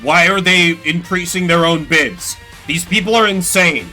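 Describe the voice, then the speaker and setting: A man giving casual, animated and sarcastic gameplay commentary, swearing now and then. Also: fairly high pitch, unhurried, faint music behind. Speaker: streamer playing and voicing a game solo.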